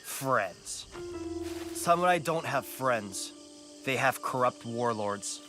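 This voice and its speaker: An actor playing a cocky, flirtatious rogue thief in a video game, with a teasing, crude swagger and a bitter streak. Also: male voice, medium pitch, expressive, slow. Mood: bitter, indignant. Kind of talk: dramatic video game dialogue. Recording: music playing behind the voice.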